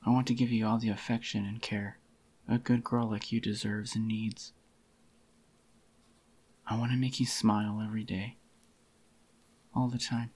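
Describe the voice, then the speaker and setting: A possessive yandere boyfriend, acted by a man, murmurs tenderly in a soft-spoken ASMR roleplay. His voice is on the low side, husky and a little groggy, with a crackly fry. He sounds intimate and doting, as if soothing his sweetheart. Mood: devoted.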